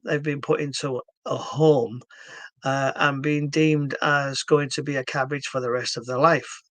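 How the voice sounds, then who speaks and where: A man's voice: on the high side, fairly bright tone, dry recording. A man from England with a Yorkshire accent, talking earnestly to camera about his stroke therapy method, with lots of fillers and restarts. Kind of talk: casual talk to camera.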